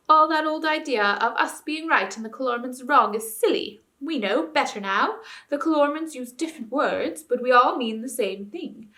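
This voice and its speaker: A woman's voice: high voice, pushed and bright. A woman playing a sneering bully of an old ape. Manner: sneering, snarling, bossy.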